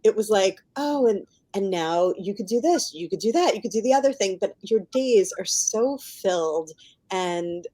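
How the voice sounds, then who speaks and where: A woman's voice: mid-range voice, lively intonation, moderate pace, dark tone. A middle-aged woman chatting casually as a podcast guest, emphatic and encouraging, often laughing as she talks. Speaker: guest novelist.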